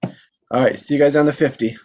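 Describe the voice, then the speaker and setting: A man's voice: medium pitch, quick pace, darkish tone, lo-fi sound. A man hosting a live table read, talking in a casual, chatty way. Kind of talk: casual chat.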